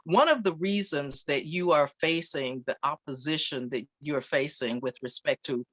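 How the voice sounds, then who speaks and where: A woman panelist in a debate, speaking deliberately and emphatically, repeating her phrases. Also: low voice, fairly bright tone, no room sound.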